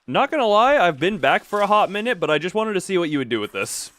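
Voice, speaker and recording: Animated, higher-voiced male game streamer. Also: moderate pace, no room sound. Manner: rambling, expressive, mildly crude exclamations. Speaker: live streamer. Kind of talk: casual gaming livestream chat.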